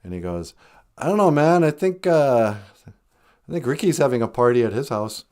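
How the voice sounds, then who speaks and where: A man talking casually and jokingly as a podcast host and musician, in an animated way. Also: mid-range voice, normal speed.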